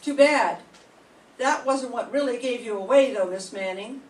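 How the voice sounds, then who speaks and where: An older woman reading the part of a calm, shrewd police inspector in an old-time radio mystery, with deliberate, measured questioning. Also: fairly high pitch, natural voice. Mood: stern, probing. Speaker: student in a radio drama class. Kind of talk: 1940s radio mystery acting. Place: classroom. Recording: echoey room, distant mic, faint hiss.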